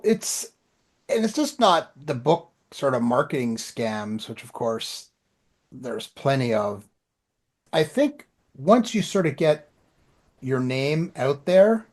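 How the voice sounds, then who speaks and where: A man's voice: mid-range voice, darkish tone. A man co-hosting a podcast, chatting casually and anecdotally in colloquial language, often acting out remembered exchanges. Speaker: podcast co-host and author.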